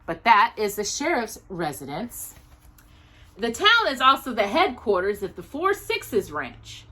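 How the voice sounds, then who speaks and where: A woman's voice: medium pitch, pushed and bright, slightly rough. A woman hosting a storytime, reading a picture book aloud and adding curious, chatty asides. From Texas, United States. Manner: conversational, curious, musing aloud. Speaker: host of a storytime read-aloud show.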